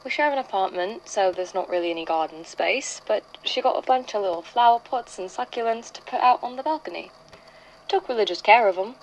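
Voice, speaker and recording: A woman acting an anxious young woman giving a halting, confiding first-person statement in an audio drama. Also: medium pitch, expressive, a little hiss or hum, close to an everyday voice, rough recording. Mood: reflective. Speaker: voice actor in a fan fiction audio drama.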